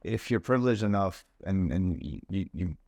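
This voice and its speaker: A man's voice: low voice, expressive, normal speed, a little mellow, no echo, clean studio sound. A young man from Lebanon, a startup founder, chatting casually on a podcast in a laid-back way, full of "like" and "bro". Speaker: startup CEO guest.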